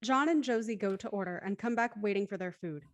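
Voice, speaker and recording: A woman's voice: medium pitch, fast talker, dry recording. A woman narrating, reading scripted stage directions aloud.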